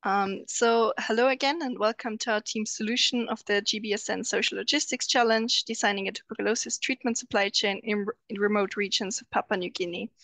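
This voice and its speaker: A woman in her twenties from Austria, a student presenting over a video call, pausing often with ums. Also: mid-range voice, fairly even intonation, fairly bright tone, no echo. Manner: hesitant, many ums.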